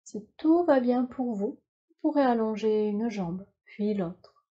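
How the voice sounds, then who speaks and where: Slow, soft-edged woman guiding relaxation. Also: fairly high pitch, breathy edge, lo-fi sound. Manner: calm, soothing, instructional, with measured phrases. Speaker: yoga nidra teacher. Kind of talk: guided relaxation meditation.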